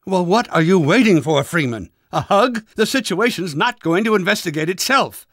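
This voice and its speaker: A man's voice: sits high, animated, moderate pace, fairly bright tone, dry recording, studio quality. A man playing a pompous, irritable older scientist in a video game, speaking with sarcastic, impatient, fussy enunciation. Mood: sarcastic, exasperated. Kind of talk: video game character voice acting.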